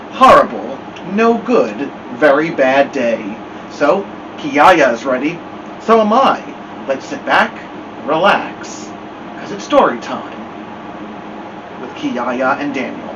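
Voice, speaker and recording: A man hosting a children's story time, speaking expressively with theatrical, drawn-out emphasis on words. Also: a little high, far from the mic, muffled recording, background noise. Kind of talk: expressive picture-book read-aloud.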